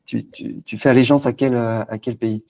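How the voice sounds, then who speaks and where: A man's voice: mid-range voice, fairly even intonation, moderate pace, vocal fry, mellow. A man interviewing a guest, asking casual questions with stammers and repeated words.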